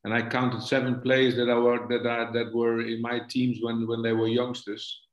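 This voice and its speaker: A man in his sixties with a Dutch accent, a football coach answering interview questions haltingly, often stumbling and repeating words. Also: medium pitch, completely flat delivery. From Netherlands. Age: 60s. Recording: echoey room, dull lo-fi connection.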